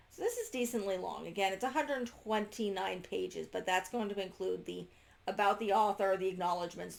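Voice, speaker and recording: Female homeschool reviewer, lowish pitch with noticeable fry, informal and unscripted, frequently interrupts herself. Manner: halting, self-interrupting, trailing off mid-sentence. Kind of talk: casual unscripted review to camera.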